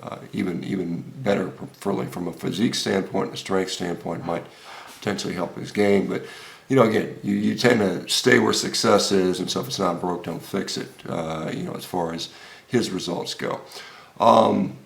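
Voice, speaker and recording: An American man in his fifties giving informal, unscripted video commentary as a health vlogger, halting often with hesitations and repeated words. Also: sits low, fairly even intonation, creaky. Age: 50s. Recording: roomy, echoey room sound.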